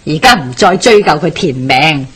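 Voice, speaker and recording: A woman's voice: low voice, expressive, moderate pace, slightly rough. An actress playing a shrewd, coaxing middle-aged widowed mother in a Hong Kong Cantonese radio drama. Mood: knowing.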